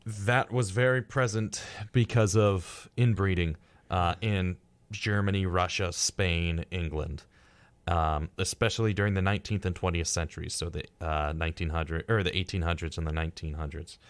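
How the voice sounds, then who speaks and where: A man's voice: low-pitched, steady tone, normal speed, no echo. A man co-hosting a casual podcast discussion, reading out facts in a dry, dismissive way. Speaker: podcast co-host.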